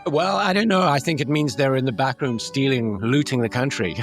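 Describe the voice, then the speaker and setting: A man's voice: medium pitch, brisk, soft background music. A man hosting a podcast, talking in a casual conversational interview style. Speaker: podcast host.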